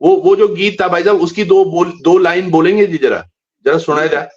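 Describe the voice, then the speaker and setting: A man's voice: high voice, moderate pace. This is a male host with a somewhat raised voice. He asks his guest long, searching questions in a casual interview. The audio is dull and lo-fi, like a live stream.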